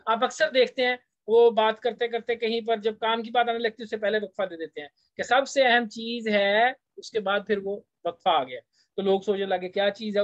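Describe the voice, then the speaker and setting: A man giving an informal lecture as a teacher, explaining point by point and slowing down for English phrases. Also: high voice, no room sound. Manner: explanatory, slows down when reading English phrases. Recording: dull, lo-fi close recording.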